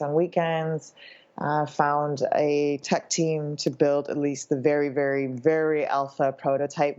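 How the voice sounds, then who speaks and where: A woman's voice: sits low, a little mellow. A woman born in Hong Kong and raised in Canada, giving thoughtful interview answers with many ums and uhs. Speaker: guest, founder of a company. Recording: slightly dull, lo-fi sound.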